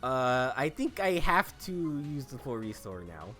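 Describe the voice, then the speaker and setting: A man giving joking gameplay commentary, animated and peppered with "like". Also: mid-range voice, a little slow, soft background music. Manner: animated, joking, heavy use of "like". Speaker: let's play host.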